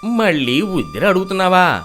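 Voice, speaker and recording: A man's voice: high voice, big pitch swings, moderate pace, fairly bright tone, soft background music. A man voicing a stingy, grumpy village shopkeeper in a moral story, curt and scolding. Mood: irritated.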